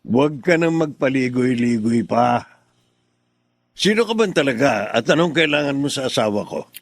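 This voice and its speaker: A jealous husband in a radio drama, blunt and demanding with a short temper. His voice has a gravelly edge and moves expressively, recorded close and dry. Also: mid-range voice, animated, moderate pace, dry recording. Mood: suspicious, impatient.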